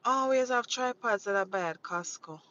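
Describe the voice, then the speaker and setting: A woman's voice: medium pitch, expressive, moderate pace, fairly bright tone, muffled recording. A woman from Jamaica, chatting casually on a livestream, rambling and repeating herself as she greets viewers from inside a vehicle. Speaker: livestream host. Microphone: phone mounted on dashboard.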